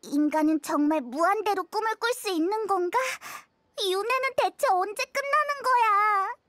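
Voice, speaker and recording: A woman playing a tiny childlike fairy companion in a game, outspoken, excitable and expressive. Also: very high voice, normal speed, no room sound. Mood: puzzled, concerned. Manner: expressive, exclamatory, questioning. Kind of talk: scripted game character dialogue.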